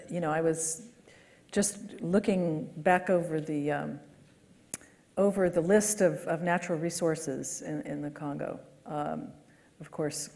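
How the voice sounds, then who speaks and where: Mellow low-voiced woman moderating. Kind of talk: panel moderation.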